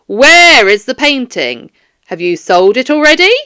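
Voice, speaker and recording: A woman voicing a stern police detective in an audiobook, questioning a suspect in a firm, formal, measured way. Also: high-pitched, big pitch swings, bright, forceful tone, clean tone, no room sound, studio quality, exaggerated voice. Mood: stern, pressing.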